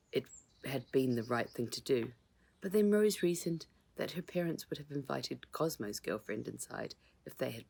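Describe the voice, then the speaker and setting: A woman's voice: extremely low pitch, creaky, a little mellow, husky. A woman reading a children's storybook aloud as scripted narration, as its author.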